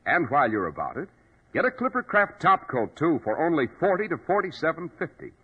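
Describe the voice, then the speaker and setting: An American man works as a vintage radio announcer. His delivery swings widely in pitch, with a husky edge. The sound is dull and old. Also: huge pitch swings, brisk.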